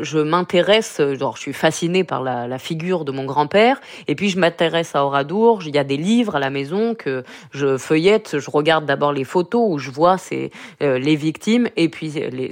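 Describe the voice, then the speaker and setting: A young woman from Limousin with a Limousin accent, speaking as a radio interview guest, hesitant and full of fillers and restarts. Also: low-pitched. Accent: Limousin French. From Limousin, France. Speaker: guest, granddaughter of a massacre survivor.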